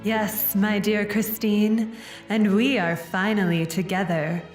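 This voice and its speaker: A woman's voice: medium pitch, flat delivery, takes it slowly, natural voice. A woman playing a romantic, brooding opera-house phantom with a theatrical, melodramatic delivery in an audio comedy sketch. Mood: yearning. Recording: echoey cavern reverb, loud music behind.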